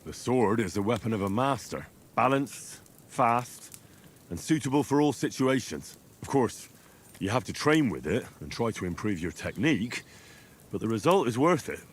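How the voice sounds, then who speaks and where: A young medieval commoner turned soldier in a game cutscene. His voice is mid-pitched, and he speaks plainly and earnestly. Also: big pitch swings, normal speed, breathy edge. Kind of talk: video game cutscene acting.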